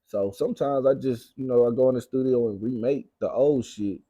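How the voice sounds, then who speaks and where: Young man, Southern US accent, relaxed dark timbre, mid pitch, casual slang, emphatic repetition. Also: a little fast, dry recording, lo-fi sound. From Arkansas, United States. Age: young adult. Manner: slangy, repeats phrases for emphasis. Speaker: guest, rapper. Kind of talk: casual interview talk.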